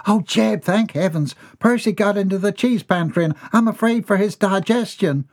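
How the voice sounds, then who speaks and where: A man putting on a fussy Southern farm wife, voice pushed well up into a high shrill register, fretting and scolding in quick bursts, storybook reading.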